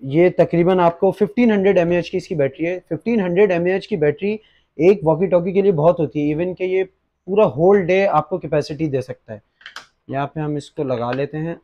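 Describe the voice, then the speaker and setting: Young Pakistani man, slightly high-pitched, explaining. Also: normal speed, lo-fi sound. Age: young adult. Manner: explanatory, mixes in English words. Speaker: presenter for an online gadget shop. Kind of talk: unboxing and product demonstration. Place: small indoor gadget shop studio at a desk.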